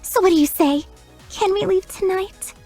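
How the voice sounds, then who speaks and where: Giggly flirty maid. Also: female voice, very high-pitched, moderate pace, mellow, soft background music. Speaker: chambermaid character. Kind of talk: video game voice lines.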